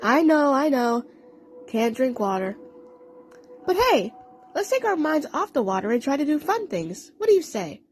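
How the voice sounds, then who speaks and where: A woman's voice: high-pitched, very animated, normal speed, a little hiss or hum. A woman voicing an upbeat, reassuring young girl in a cartoon fan story. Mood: cheery, reassuring. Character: upbeat, encouraging young girl, dollhouse leader.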